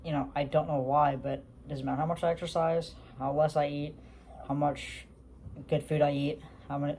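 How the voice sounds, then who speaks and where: Gravelly-voiced man vlogging casually. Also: a little high, darkish tone, slight background noise. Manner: rambling, many fillers and restarts. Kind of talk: casual talk to camera.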